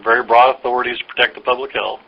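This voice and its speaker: A man explaining things patiently and haltingly in a phone conversation, like an official answering a caller's questions. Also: medium pitch, flat delivery, a little hiss or hum. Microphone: telephone. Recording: dull lo-fi recorded phone call.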